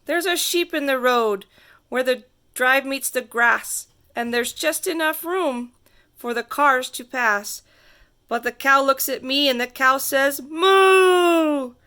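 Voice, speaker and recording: A woman reading a rhyming picture book aloud to children in a theatrical, sing-song way with drawn-out emphasis. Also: sits high, pushed and bright, clear, resonant tone. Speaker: storybook reader.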